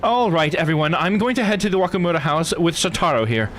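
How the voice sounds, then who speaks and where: A man's voice: on the high side, expressive, quick pace, a bit bright, soft background music. Man voicing a commanding, composed policewoman. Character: composed female police superior, commanding and analytical.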